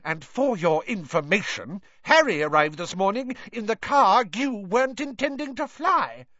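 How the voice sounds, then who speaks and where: A man voicing a fierce middle-aged mother who scolds her husband in a shrill, shouting voice. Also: huge pitch swings, very slow, dry recording, highs cut off, heavily acted.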